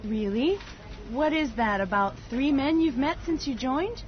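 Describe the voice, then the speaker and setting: A woman's voice: high-pitched, animated, a little slow, slight background noise. A woman acting a curious, friendly coworker in a scripted listening dialogue. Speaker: dialogue actor. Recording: dull lo-fi audio.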